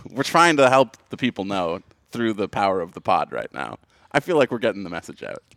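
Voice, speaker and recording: A man co-hosting a casual podcast, chatty and joking as he questions his guests. His voice sits a little low and sounds dry and close. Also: big pitch swings, normal speed, creaky, fairly bright tone, dry recording. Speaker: podcast co-host.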